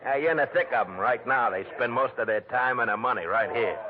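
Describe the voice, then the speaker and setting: An actor playing a gabby dive-bar bartender with folksy nautical slang, in 1940s radio drama style. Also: male voice, mid-range voice, fast, crowd noise, close to an everyday voice. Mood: jovial. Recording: tinny, noisy old radio broadcast.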